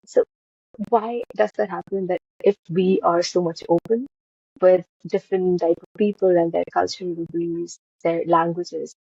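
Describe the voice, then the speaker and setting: A woman host interviews a guest in a dark, husky low voice with a gravelly fry. She puts her long questions together haltingly, padding them with "uh" and "you know." The audio is dull, dry and lo-fi. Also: no room sound. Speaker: podcast host.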